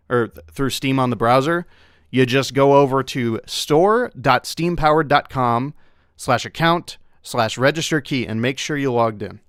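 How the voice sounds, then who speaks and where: A man hosting a live game stream, chatting casually and relaxed, with plenty of fillers. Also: mid-range voice, smooth, no echo, clean studio sound. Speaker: streamer hosting a mod playthrough.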